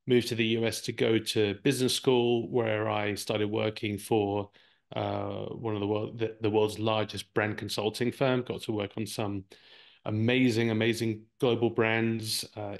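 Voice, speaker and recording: A Brazilian-born man who speaks with an English accent and whose first language is Portuguese, explaining ideas at length as an interview guest. His voice sits fairly low and has a gravelly, creaky edge. He often circles back and repeats words while he finds his phrasing. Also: level tone, moderate pace. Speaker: guest, marketing executive. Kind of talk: conversational interview answers.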